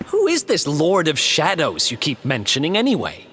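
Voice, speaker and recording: An actor playing a wry, resolute young adventurer in a video game. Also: male voice, on the high side, wildly swooping pitch, normal speed, a bit bright, slight background noise. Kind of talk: video game cutscene acting.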